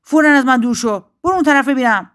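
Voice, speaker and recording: A woman's voice: high-pitched, fairly bright tone, voice pushed up. A woman voicing a proud, unfriendly willow tree in a children's story, dismissive and snobbish.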